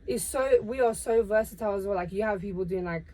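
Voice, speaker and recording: A young woman from Portugal talks through her music dreams in an easygoing, rambling podcast chat. Her pitch sits in a middle range, and her sentences drift loosely from one "like" to the next. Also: quick pace, low-quality recording. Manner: relaxed, filler-heavy talk with many "like"s. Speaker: guest singer.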